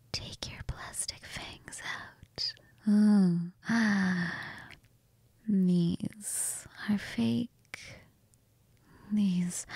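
A woman voicing a cold, hungry female vampire, speaking in a slow, breathy whisper right at the microphone. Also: mid-range voice, darkish tone, no room sound, heavily acted. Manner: slow, intimate, purring murmurs. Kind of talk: whispered ASMR roleplay. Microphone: close mic.

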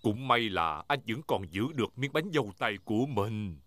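Very animated cartoon daddy pig. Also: male voice, fairly low pitch, normal speed, a little hiss or hum. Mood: easygoing. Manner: expressive, exaggerated. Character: cheerful, excitable cartoon father pig. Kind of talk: animated children's show dub. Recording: music behind the voice.

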